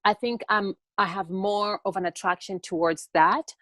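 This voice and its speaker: A young woman from Tanzania answering interview questions on a video call in an earnest, halting way that often repeats words. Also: medium pitch, fairly even intonation, a bit bright, no room sound, studio quality. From born in Tanzania. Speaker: interview guest, TikTok educator.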